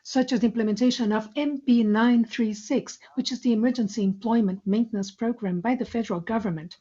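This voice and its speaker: A woman speaks in a somewhat high yet very mellow voice. She delivers a scripted business presentation, formal and measured. The sound is a little muffled, as on a conference call. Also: breathy edge. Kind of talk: scripted corporate financial presentation.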